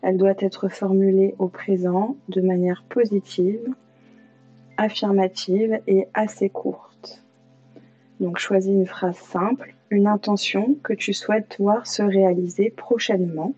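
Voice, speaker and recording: A woman leading a guided yoga nidra meditation as an instructor, calm and soothing, leaving long pauses. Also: medium pitch. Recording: slightly dull lo-fi sound, music behind. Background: music.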